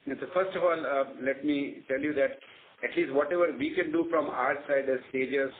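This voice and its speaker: A man with an Indian accent answering as a company executive on an earnings call, explaining in a measured, confident way. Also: medium pitch, steady tone. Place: conference phone call. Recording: narrow phone line with faint noise.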